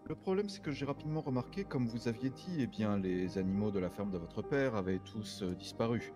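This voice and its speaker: A man playing a courteous private detective in online roleplay, explaining things formally and deliberately, with halting pauses. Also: on the low side, close to an everyday voice. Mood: methodical. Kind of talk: improvised French online roleplay. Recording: background music under the voice.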